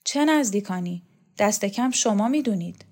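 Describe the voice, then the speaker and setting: A woman voicing an earnest, anxious young male student who pleads quickly. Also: mid-range voice, lively intonation, a little mellow. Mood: devoted.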